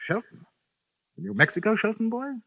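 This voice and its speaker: Brief: a cool, courteous English gent, quick and lively delivery, lo-fi vintage radio. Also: male voice, sits high, dry recording, heavily acted. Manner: polite, dryly understated. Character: unflappable English gentleman drifter in the West. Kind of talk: 1950s radio drama acting. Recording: dull lo-fi 1950s radio recording.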